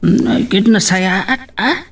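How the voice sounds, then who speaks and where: A man playing a caring, admonishing older father in an audio drama, with an unhurried, fatherly manner and an Ilocano accent. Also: high-pitched, creaky, recorded from a distance, heavily acted. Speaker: voice actor.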